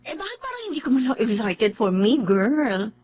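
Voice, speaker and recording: Snobbish young office worker, Filipino accent, high animated voice, rude, selfish, TV drama delivery. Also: female voice, normal speed, slightly rough, highs cut off. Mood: casual, smug. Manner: haughty, dismissive. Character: vain, rude young office woman, sharp-tongued and selfish.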